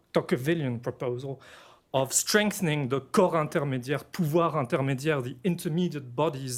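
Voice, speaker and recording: A man from Belgium with a French-influenced accent, giving a measured academic panel presentation as a political scientist, pausing often with fillers. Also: fairly high pitch. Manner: measured and deliberate, with frequent filler pauses.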